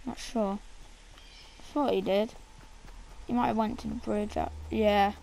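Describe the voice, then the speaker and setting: A woman with a croaky, gravelly voice, coughing now and then, casually commenting on her own video game play. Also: mid-range voice, fairly even intonation, unhurried, warm tone, slight background noise, rough recording. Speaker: gameplay streamer.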